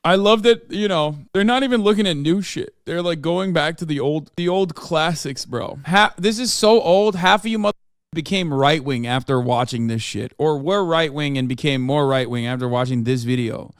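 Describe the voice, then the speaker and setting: A young man riffs on a video beside a streamer, teasing and animated with lots of slang. His voice sits fairly high for a man. The sound is dry and close. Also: normal speed, clean tone, no room sound. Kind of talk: casual reaction stream commentary.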